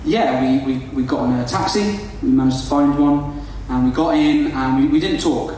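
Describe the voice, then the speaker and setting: A man's voice: medium pitch, normal speed, dark tone, heavy reverb, far from the mic, slight background noise, rough recording. A young Welsh-born man whose first language is Welsh, telling a comic story to an audience in a chatty, self-deprecating way and calling his listeners folks. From Wales. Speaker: storyteller on stage.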